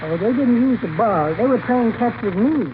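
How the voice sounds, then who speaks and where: An actor playing a timid, henpecked little husband, mild, polite and mousy, in a 1940s radio comedy. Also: male voice, very high-pitched, animated, moderate pace. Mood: meek, mild. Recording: very noisy, tinny old radio recording.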